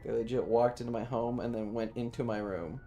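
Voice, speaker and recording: Male game streamer, middle pitch, unhurried casual banter, playful and sweary, music underneath. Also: steady tone, dark tone. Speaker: livestreamer playing a game. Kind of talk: casual chat with viewers during gameplay. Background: video game music.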